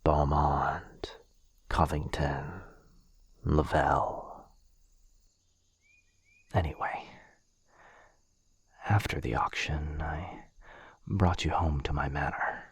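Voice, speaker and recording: A gentle, protective vampire gentleman, voiced by a man, murmurs slowly in an extremely low voice. It is very breathy, soothing ASMR roleplay acting, close to the mic. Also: steady tone, dry recording, full character voice. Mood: soft, soothing. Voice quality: breathy, husky, whispery. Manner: soothing, apologetic. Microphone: close mic, dry.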